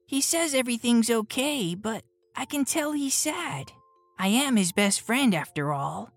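A woman's voice: on the high side, animated, unhurried, no echo, soft background music, voice pushed up. A woman voicing a caring, earnest young boy in a children's storybook. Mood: quiet worry.

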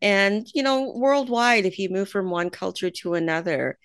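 A woman hosting a show, interviewing a guest and asking questions in a halting way with pauses and fillers. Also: mid-range voice, lively intonation, clean tone, dry recording, studio quality. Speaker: radio show host.

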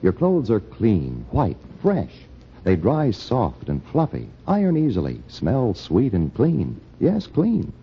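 A man delivering an emphatic vintage radio sales pitch as a commercial announcer. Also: wildly swooping pitch, takes it slowly, creaky, a little hiss or hum. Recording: muffled old radio recording.

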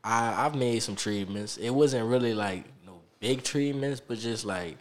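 A man's voice: mid-range voice, a little slow. A man of twenty-one with a New Orleans accent, talking in a loose, slangy way as an interview guest. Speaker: videographer being interviewed. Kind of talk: casual interview chat.

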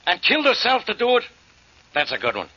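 Combative radio cop, highish forceful voice, sarcastic, impatient, dull vintage recording. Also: male voice, huge pitch swings, brisk, slightly rough, heavily acted. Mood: sarcastic, irritated. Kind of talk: 1940s radio acting.

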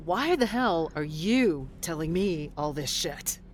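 A woman's voice: low-pitched, expressive, takes it slowly, a little hiss or hum. An actress playing a blunt, weary middle-aged woman fresh out of prison in an audio drama, swearing in exasperation. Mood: exasperated.

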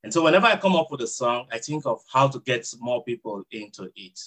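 A man with a Nigerian accent, a hospital chaplain, answering interview questions in a reflective, measured way with frequent fillers. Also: mid-range voice, very animated, fairly bright tone.

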